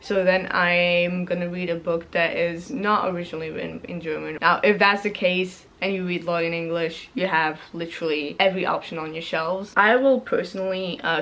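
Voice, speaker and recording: A woman's voice: on the low side, a bit bright, poor audio. A young woman, a native French speaker, casually explaining reading prompts, rambling and correcting herself, laughing often. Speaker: book vlogger.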